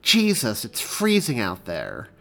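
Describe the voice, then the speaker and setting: A man's voice: mid-range voice, huge pitch swings, slow pace, vocal fry, fairly bright tone, soft background music, exaggerated voice. A man voicing a cheeky, pleading teenage girl in an audiobook story. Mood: urgent. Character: cheeky, pleading eighteen-year-old girl next door. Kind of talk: audiobook character dialogue.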